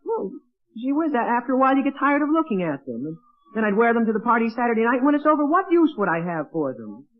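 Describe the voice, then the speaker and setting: An actor playing a flustered, evasive teenage son who stammers, in 1940s radio sitcom style. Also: male voice, extremely high pitch, very animated, quick pace, faint music behind. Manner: stammering, evasive, exclaiming gee whiz. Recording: tinny band-limited old radio recording.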